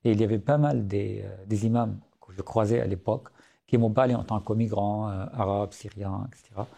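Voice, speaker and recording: A man's voice: on the low side, steady tone, fairly quick, gravelly, dark tone. A man in his late thirties, originally from Syria, speaking French with an Arabic accent as a podcast guest, earnest, with many hesitations and restarts. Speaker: guest author. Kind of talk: podcast interview.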